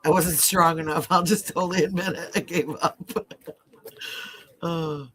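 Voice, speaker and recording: Bright-voiced man with a Midwest accent. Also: fairly high pitch, fairly even intonation, measured pace. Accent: Midwestern US, mixed with Ohio and Southern. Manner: rambling, lots of fillers and self-restarts, easy laughs. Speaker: co-host of a live true-crime stream. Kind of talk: casual live-stream chat.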